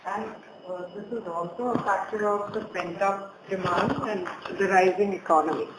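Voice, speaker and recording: A woman's voice: medium pitch, fairly even intonation, moderate pace. A woman speaking as a company executive, explaining an answer on a conference call. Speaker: bank executive answering questions. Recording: echoey conference call, clearly noisy.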